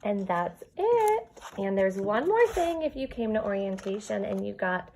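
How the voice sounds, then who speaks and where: A woman with a mid-pitched voice reads a children's story aloud as a kindergarten teacher would. Her delivery is expressive and addressed directly to young children, moving between the rhyming text and chatty asides. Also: measured pace. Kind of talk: animated read-aloud of a children's picture book with chatty asides.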